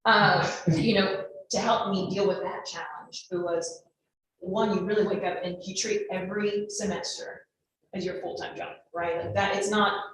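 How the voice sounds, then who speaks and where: A woman's voice: mid-range voice, steady tone, moderate pace. A woman speaking on a law career panel, emphatic and often tagging her points with "right?". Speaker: lawyer panelist. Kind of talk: panel answer. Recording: very echoey room, faint noise.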